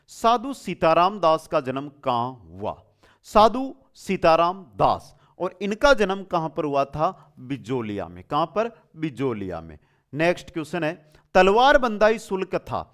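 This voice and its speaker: A man's voice: a little high, sing-song, a little slow, a bit bright. A man teaching an exam lesson quiz-style, reading out questions and repeating key phrases emphatically for drilling.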